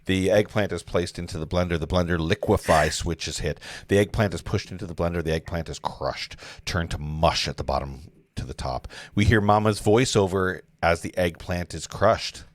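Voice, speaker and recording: Guy with a low voice reading screenplay directions on a stream, really up and down, dry close sound. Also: moderate pace, hardly any pauses, no echo. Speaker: livestream host and stage-direction narrator. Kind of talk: reading screenplay stage directions with casual asides.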